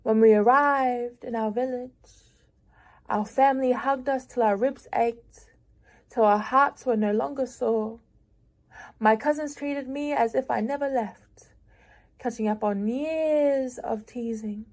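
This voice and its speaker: A young woman reads her own lyrical writing aloud, taking her time with each phrase. Her voice sits somewhat high, and her delivery is unhurried, measured and reflective, with long pauses between lines. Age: young adult. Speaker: prize-winning young writer.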